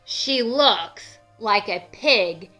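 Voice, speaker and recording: A woman's voice: mid-range voice, expressive, extremely slow, sharp tone, full character voice. A woman voicing a grumpy, haughty, disapproving female storybook character in an emphatic, scolding manner. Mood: disdainful. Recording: music under the voice.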